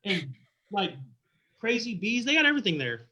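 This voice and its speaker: A man's voice: high-pitched, very animated, normal speed, bright, forceful tone. A man with a Texas and Oklahoma Southern accent, chatting playfully and exaggerating as an interview guest. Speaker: visiting king, interview guest. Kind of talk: casual joking chat. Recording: video call.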